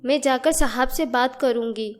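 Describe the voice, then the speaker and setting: A woman's voice: high voice, normal speed, faint music behind, close to an everyday voice. A woman playing a humble, loyal, kindly housemaid in a cartoon story, deferential and caring. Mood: loyal, resolute. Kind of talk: animated moral story dialogue.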